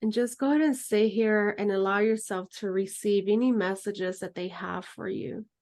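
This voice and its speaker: A woman teaching an herbalism class in a relaxed, chatty way, then leading a guided meditation. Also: mid-range voice, moderate pace. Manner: relaxed, chatty, laughs easily.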